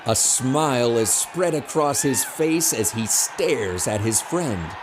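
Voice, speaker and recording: A man's voice: mid-range voice, lively intonation, slow pace, background noise. A man narrating an audio drama in a scripted, dramatic storytelling style.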